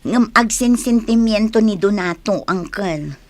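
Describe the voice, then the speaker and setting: A Filipino actress playing a chatty, gossipy niece in a radio drama. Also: female voice, medium pitch, animated, unhurried, no echo.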